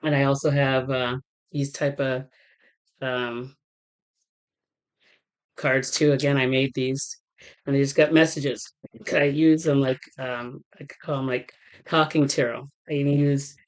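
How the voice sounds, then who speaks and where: Woman, tarot reader, getting over a cold, casual vlog talk, halting with fillers, smooth clear voice. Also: extremely low pitch, steady tone, a little mellow. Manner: halting, with many fillers and restarts.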